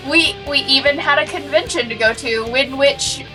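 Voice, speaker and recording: A woman co-hosting a gaming show, upbeat and expressive, chatting casually and reading character lines aloud. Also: high voice, normal speed. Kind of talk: casual let's-play chat with character read-alouds. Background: soft guitar game music.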